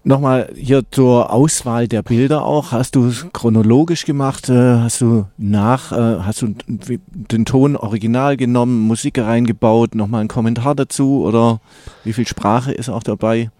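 Mellow male interviewer, rambling questions. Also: mid-range voice, moderate pace, no echo, clean studio sound. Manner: rambling, rephrases questions midway.

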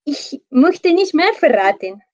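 A woman presenter opening a small online interview programme, high voice coming through a somewhat muffled, lo-fi connection. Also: big pitch swings, a little slow, dry recording. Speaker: host. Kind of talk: interview, welcoming a guest.

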